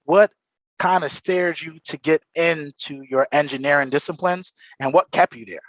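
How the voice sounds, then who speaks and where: A man's voice: a little high, moderate pace, no echo. A man hosting an interview, effusive and full of praise for his guest. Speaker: host. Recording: dry, dull lo-fi sound.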